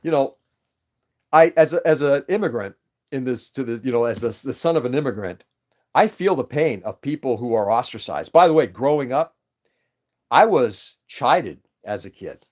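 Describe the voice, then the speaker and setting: A man's voice: medium pitch, animated, normal speed, darkish tone. A man whose first language was Greek, chatting casually on a livestream, rambling and greeting viewers by name. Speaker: livestream host.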